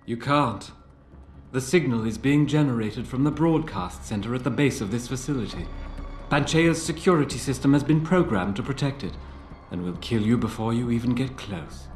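An actor playing an aging, crippled visionary inventor in a video game, eloquent and theatrical, grandiose, bitter and anguished. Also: male voice, mid-range voice, expressive, natural voice. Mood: cold, solemn. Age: older. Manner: eloquent, theatrical, deliberate oratory. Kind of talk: video game cutscene acting. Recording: roomy game audio with faint music.

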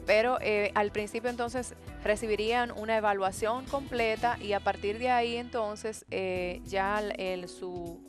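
A middle-aged woman hosts a TV studio interview, speaking into a headset mic. She leads the talk briskly, with soft music underneath. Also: mid-range voice, steady tone. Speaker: TV show host. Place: TV studio set.

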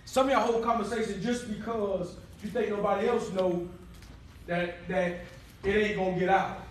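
A man preaching a sermon in a church hall, calling for responses and rising to shouts, recorded by a far-off camera. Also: high-pitched, fairly even intonation, moderate pace, slight background noise. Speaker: pastor.

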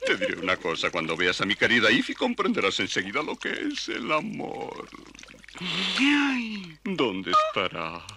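Gushing lovestruck cartoon beetle, swooping voice. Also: male voice, mid-range voice, wildly swooping pitch, a little slow, sharp tone, a little hiss or hum, full character voice. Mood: wistful. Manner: florid, gushing, prone to operatic crooning. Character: lovestruck, theatrical, portly male beetle, gushing romantic. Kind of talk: dubbed children's cartoon acting.

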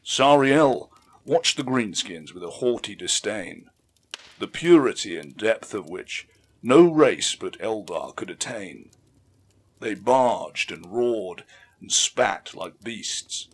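A man narrating a fiction audiobook in a solemn, deliberate, dramatic storytelling manner. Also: fairly low pitch.

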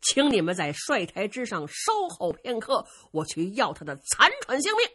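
A woman's voice: medium pitch, very animated, normal speed, bright, forceful tone, husky, full character voice. A woman voicing a haughty, vengeful young male warrior in a storytelling tale, swaggering and sneering. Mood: gloating, vengeful.

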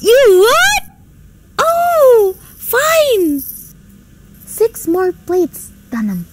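A woman playing a flustered, excitable young society daughter in a radio drama, exclaiming and stammering dramatically. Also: extremely high pitch, slow pace, noisy background, full character voice. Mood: bright, sociable. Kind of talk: stage-like radio drama acting.

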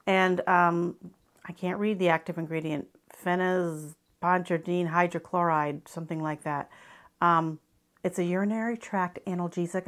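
Casting: American woman, 50s, slightly low voice, chatty explanatory vlogger doing informal product reviews. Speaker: travel gear vlogger. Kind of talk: casual product review to camera. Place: small furnished living room, indoors.

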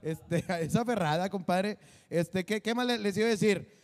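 Rowdy comedian in his forties, high-pitched, handheld mic in a reverberant studio. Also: moderate pace, a little mellow. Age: 40s. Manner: rowdy, crude joking, many fillers. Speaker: comedian and show host. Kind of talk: casual comedic monologue. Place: small studio set with drum kit behind a desk.

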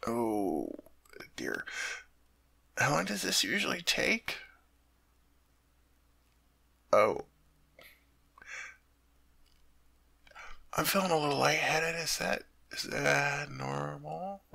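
A man voicing a doting young king in an audio roleplay, his voice gravelly and husky with a bright, tense edge. He stammers and repeats himself when flustered, then softens into gentle whispers. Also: on the high side, very animated, slow pace, exaggerated voice. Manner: soft tender whispering. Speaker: ASMR roleplay performer.

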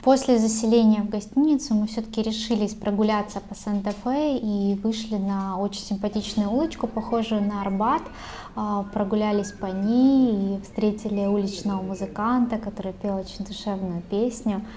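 Chatty woman with a mellow voice. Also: mid-range voice, steady tone, moderate pace, a little hiss or hum. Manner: chatty, laughing storyteller. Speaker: travel vlogger. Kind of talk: casual travel narration.

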